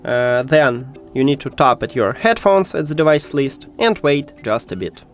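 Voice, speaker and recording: Man giving step-by-step tech instructions, lively pitch. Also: normal speed. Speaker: tech tutorial presenter. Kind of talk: step-by-step spoken tutorial.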